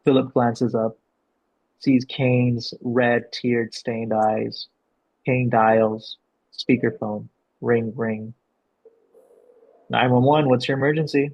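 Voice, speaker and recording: A man working as a director, explaining and reading out a script, with a gravelly, husky voice. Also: medium pitch, fairly even intonation, slow, pauses often. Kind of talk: explanatory coaching and script narration. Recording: dull, lo-fi sound.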